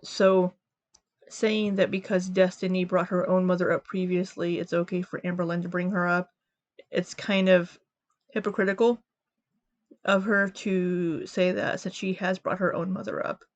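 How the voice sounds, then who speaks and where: A woman's voice: lowish voice, little pitch movement, moderate pace, no echo. A woman hosting a commentary channel, giving her opinions in a casual spoken style.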